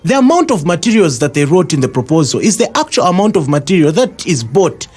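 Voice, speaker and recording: A man's voice: a little high, big pitch swings, fast, a little mellow, husky. A man acting an earnest, indignant citizen with a Zambian accent who explains things emphatically in a scripted educational audio drama. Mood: emphatic.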